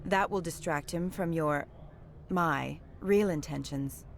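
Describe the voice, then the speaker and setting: An actress playing a secretive female alien agent in a game, with a measured, formal, businesslike manner. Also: low-pitched, vocal fry, a little hiss or hum, heavily acted. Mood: calm, guarded.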